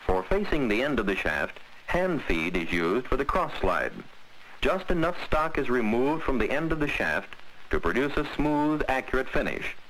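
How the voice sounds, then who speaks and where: An American man reading a scripted 1940s instructional film narration in a measured, precise, instructive way. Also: mid-range voice, sing-song, fairly bright tone, low-quality recording. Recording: old 1940s film soundtrack, faint hiss.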